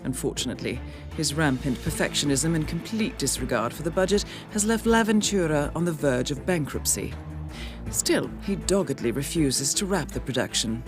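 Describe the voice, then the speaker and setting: An actress playing a composed, formal intelligence handler who gives measured, precise mission briefings in a video game. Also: female voice, deep voice, lively intonation. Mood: composed, businesslike. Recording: game cinematic with loud music bed. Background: loud music.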